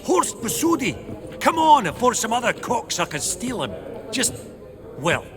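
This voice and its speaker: A man's voice: very high-pitched, wildly swooping pitch, unhurried, exaggerated voice. An actor playing a blunt, cheeky male dwarf, bantering coarsely, with a crowd heard behind him. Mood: urgent.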